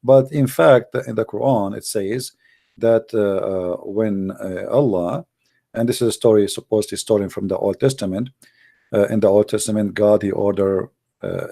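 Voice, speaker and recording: A man with a dark, creaky voice and as his hosts a live call-in show. He argues emphatically, repeating his phrases with a mocking edge. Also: fairly low pitch. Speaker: host of a religious debate call-in show. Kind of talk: live call-in commentary and polemic.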